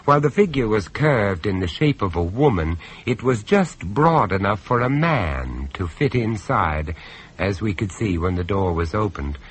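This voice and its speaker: An actor playing the male narrator of a radio horror tale, measured, urbane and ominous. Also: on the low side, sing-song, husky, heavily acted, rough recording.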